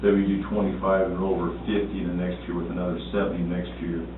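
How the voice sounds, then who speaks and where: Low-voiced man in an echoey meeting room. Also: monotone, fast. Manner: explanatory, plain-spoken, frequent fillers and restarts. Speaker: town manager. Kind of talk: budget discussion at a town board meeting. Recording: echoey, distant, phone-like, noisy meeting recording.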